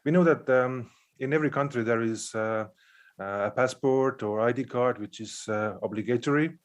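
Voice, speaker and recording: A man gives a panel talk at a middling pitch. He speaks in a careful, halting way with plenty of pauses. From Estonia. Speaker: pastor and panelist.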